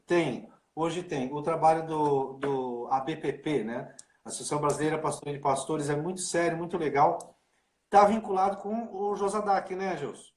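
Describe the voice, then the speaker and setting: Chatty pastor in an echoey room. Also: male voice, a little high, moderate pace. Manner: chatty, informal, full of fillers like né and cara. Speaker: pastor hosting a livestream. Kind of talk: casual livestream chat.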